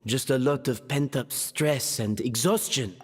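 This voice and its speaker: A man voicing a weary, responsible head of a kitchen in a game, measured and tired. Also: medium pitch, breathy edge. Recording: game audio with reverb.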